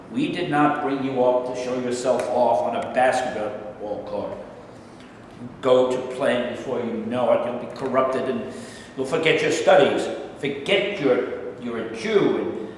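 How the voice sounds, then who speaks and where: A man's voice: mid-range voice, close to an everyday voice. Man playing a stern father, echoing hall. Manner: admonishing, deliberate. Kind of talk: staged play reading. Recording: echoing hall, distant mic, faint noise.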